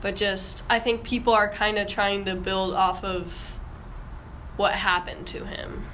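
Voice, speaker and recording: A young woman hosts a casual podcast in a bright, forward voice. She speaks slowly and informally, feeling her way through thoughts, with slight noise behind her. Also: medium pitch, fairly even intonation, rough recording. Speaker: podcast host. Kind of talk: casual conspiracy discussion.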